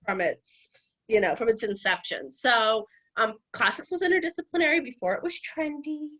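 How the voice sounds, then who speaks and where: A woman giving a conversational public lecture on ancient history, animated and direct, with occasional restarts. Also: on the high side, moderate pace, low-quality recording. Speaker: guest lecturer.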